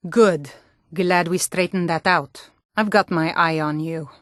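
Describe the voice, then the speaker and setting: An actress playing a hardy Nordic woman of a fantasy realm in a video game, speaking in a firm, earnest, deliberate way. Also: on the low side, steady tone, fairly bright tone, no room sound, clean studio sound. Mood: stern, commanding. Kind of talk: scripted fantasy video game character dialogue.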